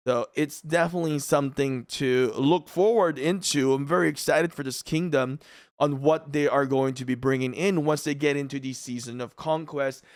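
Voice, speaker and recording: A man's voice: mid-range voice, steady tone, normal speed, no room sound. Filipino guy rambling on about a strategy game, laid-back, dry room sound. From Philippines. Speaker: gaming YouTuber. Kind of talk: casual game commentary. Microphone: studio mic in a dry room.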